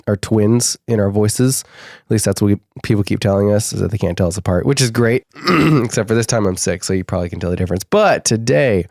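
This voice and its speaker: A young man with a low, slightly husky voice, sick with a cold, chatting loosely as a podcast co-host. He rambles with plenty of fillers. The sound is close and dry, with no room echo. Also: a little mellow. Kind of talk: casual podcast chat.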